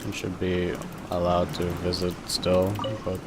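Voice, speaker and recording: Guy streaming a game, real low monotone mutter, casual, loud music behind him. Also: a little slow.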